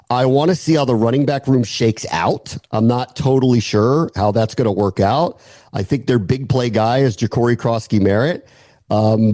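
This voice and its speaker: American man, mellow sports-analyst voice. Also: mid-range voice, no echo. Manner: opinionated, weighing points aloud with rhetorical questions. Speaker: sports analyst, podcast guest. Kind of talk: conversational American football analysis.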